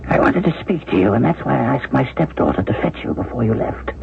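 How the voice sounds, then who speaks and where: An actor playing a frail, formal old gentleman with a creaky, halting voice in a 1970s radio drama. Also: medium pitch, sing-song. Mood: stunned. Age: older. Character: frail, heartsick old gentleman haunted by lost love. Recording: noisy, phone-like old radio broadcast recording.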